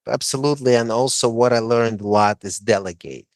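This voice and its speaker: A man with a Russian accent talks in an emphatic, coaching way, often pausing on "uh" as he gives advice. His voice sits at an ordinary middle pitch. The sound is close and dry, with no room around it. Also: dry recording. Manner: emphatic, coaching tone with frequent "uh" fillers. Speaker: guest, franchise owner. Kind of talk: casual interview chat.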